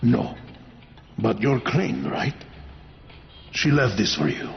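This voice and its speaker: A man's voice: mid-range voice, very animated, takes it slowly, creaky, dull audio, exaggerated voice. An actor playing a matter-of-fact male survivor passing on messages in a video game. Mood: helpful, matter-of-fact. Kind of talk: video game cutscene acting.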